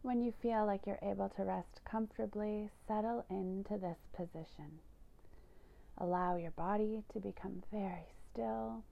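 Creaky-voiced woman guiding a meditation. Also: mid-range voice, steady tone, dull audio. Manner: gentle, soothing, unhurried instruction with breathy phrase endings. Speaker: yoga nidra teacher. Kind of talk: guided meditation narration.

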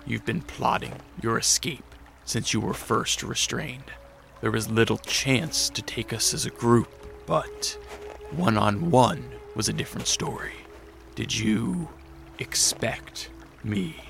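An actor playing Dracula as a brooding, restrained vampire count in a radio drama, speaking in measured, formal phrases. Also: male voice, medium pitch, gravelly, a bit bright, heavily acted. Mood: cold, accusing. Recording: music behind the voice.

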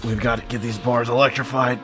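A man's voice: medium pitch, fairly even intonation, fairly quick, music in the background, natural voice. A man voicing a wounded, tough male game warden who speaks in terse, commanding lines in a fan audio drama. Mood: urgent. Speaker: voice actor.

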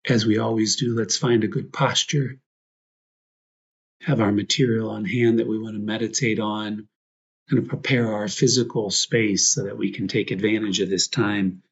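A man guiding a prayer meditation as a priest, reflective and prayerful, speaking in short phrases with pauses. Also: on the low side, husky, highs cut off.

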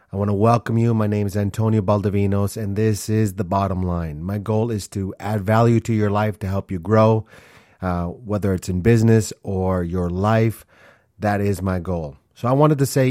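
A man's voice: lowish voice, a little mellow, dry recording, studio quality. A man in his forties, born in Honduras and raised in Minnesota, hosting his own podcast in an earnest, rambling way, full of fillers and restarts. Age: 40s. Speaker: podcast host. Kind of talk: casual solo podcast monologue.

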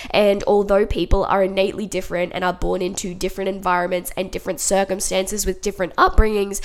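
A woman hosting her podcast from home while sick with a cold, her nose blocked and her throat sore, so she sounds deeper and huskier than usual. She talks in a chatty, upbeat way and keeps addressing her listeners as guys. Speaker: podcast host and life coach. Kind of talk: solo podcast monologue. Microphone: home podcast mic.

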